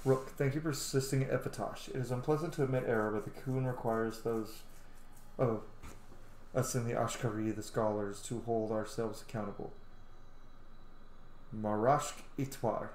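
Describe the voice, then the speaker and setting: A man's voice: fairly low pitch, a little mellow. A man in his thirties hosting a gaming video with casual let's play commentary. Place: small room indoors at home. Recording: roomy echo, faint background noise.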